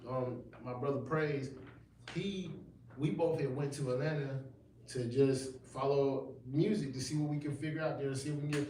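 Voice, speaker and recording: A man in his thirties rambles casually through a livestream interview, full of slang and restarts. His voice sits at an ordinary middle pitch. It echoes heavily around the room, and the mic sits well away from him, with a faint hiss underneath. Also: steady tone, moderate pace, dark tone, far from the mic. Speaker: gospel rapper guest.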